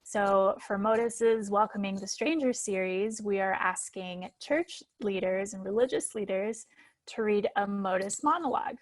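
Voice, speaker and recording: A woman's voice: mid-range voice, unhurried, no echo. A woman speaking as host and moderator, giving a formal introduction to an event.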